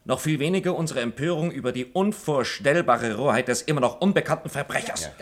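An actor playing a solemn, pompous jurist in a radio play, speaking in formal oratory. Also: male voice, mid-range voice, fairly quick, lightly acted. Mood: solemn, indignant. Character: solemn, pompous male court colleague. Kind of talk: German radio play acting.

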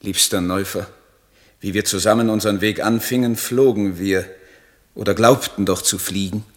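An actor playing an idealistic, embittered young poet in a literary radio play, declaiming solemnly and rhetorically. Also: male voice, lowish voice, fairly even intonation, moderate pace, lightly acted. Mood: impassioned. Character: idealistic, embittered young male German poet, brooding and impassioned.

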